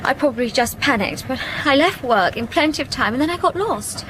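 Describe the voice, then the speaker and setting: An actress playing an anxious, polite young office worker in a radio ghost story, hesitant and apologetic in manner. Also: female voice, sits high, animated, fairly quick, noisy background. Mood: shaken, hesitant.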